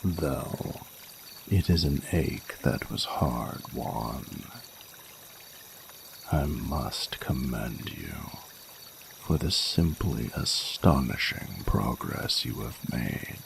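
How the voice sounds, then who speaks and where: A man's voice: extremely low pitch, lively intonation, gravelly, a little hiss or hum, full character voice. A man voicing a flirty, courtly male dragon in a romantic audio roleplay, speaking formally and soothingly in intimate ASMR style. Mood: admiring, encouraging. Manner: formal, flowery, unhurried, soothing speech. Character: ancient-sounding flirty male dragon, tender, courtly lover.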